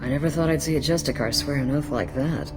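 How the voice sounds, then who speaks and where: A woman's voice: low-pitched, quick pace, music in the background, close to an everyday voice. A woman voicing a brusque, wry alien police detective in a science fiction video game.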